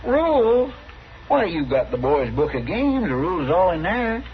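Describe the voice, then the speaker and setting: Folksy, high-pitched western sidekick. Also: male voice, big pitch swings, measured pace. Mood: puzzled. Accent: rural American drawl. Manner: deferential, folksy, rambling. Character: naive, eager, folksy male marshal's helper. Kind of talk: 1950s radio western acting. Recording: muffled old radio recording, loud hiss.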